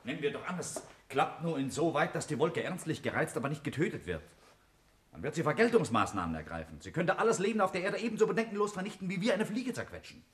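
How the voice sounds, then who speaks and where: An actor playing a stubborn, logical, commanding scientist in a 1950s German radio drama, brisk and authoritative. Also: male voice, on the high side, animated, a bit bright. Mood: indignant, arguing. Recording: noisy 1950s radio recording.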